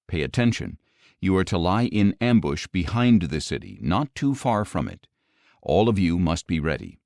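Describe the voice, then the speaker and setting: A man narrating scripture from a script in a measured, solemn manner. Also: sits low, darkish tone, no echo, studio quality. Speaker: audiobook Bible narrator.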